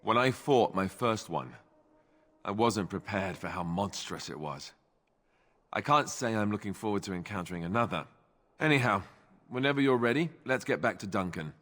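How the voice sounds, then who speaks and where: A sarcastic young warrior from a fantasy game. His lowish voice swings up and down with glib, joking humor, in a long reverb. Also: expressive, normal speed, fairly bright tone. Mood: candid. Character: wry, joking young warrior, former templar.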